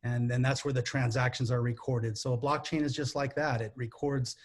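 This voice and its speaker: A man from California speaks at an average pitch in an easygoing way. He explains things plainly with everyday comparisons. He sounds like a panelist in a casual online chat. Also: level tone, fairly quick. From California, United States. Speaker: non-technical stake pool member.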